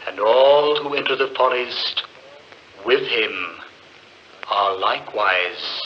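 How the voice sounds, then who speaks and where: An actor playing an ominous voice of doom, solemnly intoning a curse in 1940s radio drama style. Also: male voice, medium pitch, close to an everyday voice. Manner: solemn, portentous, drawn-out. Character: ominous male voice intoning a deadly family curse. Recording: distant, dull, faintly noisy old radio recording.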